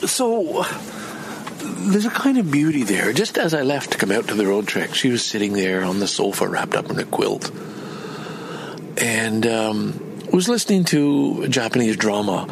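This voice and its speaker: A man's voice: on the low side, expressive, normal speed, creaky, background noise. An older man from Canada in a rambling personal vlog, meandering and chatty with many restarts. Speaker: vlogger.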